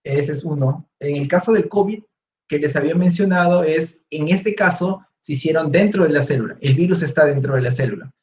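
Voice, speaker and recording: A young man with a Peruvian Spanish accent, relaxed and explanatory, answering questions in an online talk with many fillers and restarts. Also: fairly high pitch, fairly quick. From Peru. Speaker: guest speaker, researcher. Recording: echoey room, dull lo-fi audio.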